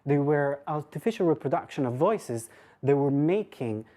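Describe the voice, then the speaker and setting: A woman with a low voice delivers an academic lecture in an informal register. She talks conversationally, as a lecturer thinking aloud, and cuts into her own sentences with side remarks. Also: animated, moderate pace, dark tone. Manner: conversational, self-interrupting asides. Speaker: university lecturer giving a talk.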